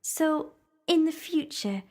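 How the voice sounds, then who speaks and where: A woman's voice: high-pitched, animated, slow, mellow, heavily acted. A woman playing a loyal, earnest young companion in an audio drama, full of questions. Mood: wondering.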